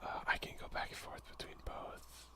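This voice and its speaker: A man speaking softly, at times in a breathy half-whisper, in a casual, hesitant ASMR let's play on a close headset mic. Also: normal speed. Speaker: gaming ASMR video creator. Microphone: close headset boom mic.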